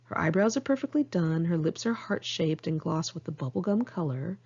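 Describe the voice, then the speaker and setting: A woman's voice: on the low side, expressive, normal speed, darkish tone, husky, dull audio. An American woman reading her own diary-style memoir aloud in a candid, conversational way. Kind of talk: audiobook narration of diary entries.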